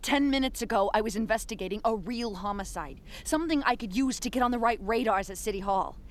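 A woman's voice: on the high side, quick pace, a little hiss or hum, lightly acted. A woman playing a cynical, ambitious investigator in an audio drama, with a curt, matter-of-fact manner. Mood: frustrated. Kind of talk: dramatic audio fiction acting.

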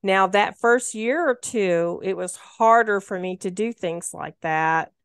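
A woman's voice: medium pitch, unhurried, smooth, no echo, studio quality. A woman of about sixty, talking earnestly in a casual conversation and giving advice. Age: 60s. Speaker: guest sharing her story.

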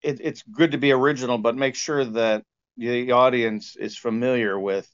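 Smooth-voiced man in a lo-fi interview. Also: medium pitch, steady tone. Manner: explanatory, halting, peppered with "you know". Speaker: interview guest, composer and sound engineer. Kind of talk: conversational interview answers. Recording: dull, lo-fi band-limited sound.

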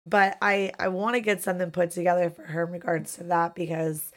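A woman in her thirties hosting a live-streamed chat show, hoarse from losing her voice, talking casually and swearing freely. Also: on the low side, brisk. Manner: profane, chatty, animated. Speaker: podcast host.

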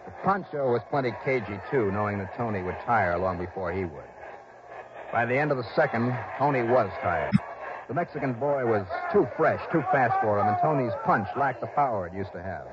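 A man's voice: medium pitch, expressive, normal speed, lightly acted. An actor playing a tough, earnest investigator with an American accent, narrating his case in clipped, intense 1960s radio style. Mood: tense, building. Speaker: star and narrator. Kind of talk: dramatic first-person 1960s radio narration. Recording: noisy, muffled old radio recording.

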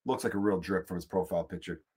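A man's voice: low voice, steady tone, fast, warm tone, slightly rough. A man with a Chicago accent, hosting a podcast and delivering a profane, exasperated, rambling comedic rant. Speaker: podcast host.

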